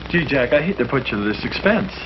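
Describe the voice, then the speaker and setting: A man's voice: mid-range voice, big pitch swings, fast talker. A man playing a priest in a 1950s film, earnest and polite. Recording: muffled old film soundtrack, faint hiss.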